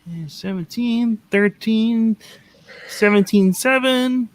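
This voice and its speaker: A man's voice: sits high, takes it slowly, no room sound. A man playing a meek, dutiful office clerk in a radio-play reading, deferential and hesitant. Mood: absorbed. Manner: deferential, hesitant, mumbling to himself.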